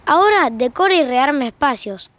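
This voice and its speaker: A woman's voice: sits high, expressive, normal speed, a bit bright, dry recording. An Argentine woman playing the wry, conversational narrator of a fiction podcast about a married couple's squabbles.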